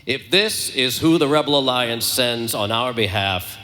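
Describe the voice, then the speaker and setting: An actor playing a stern, distrustful, scornful resistance commander in a live audio drama. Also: male voice, mid-range voice, animated, measured pace, pushed and bright, soft background music. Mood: stern, angry.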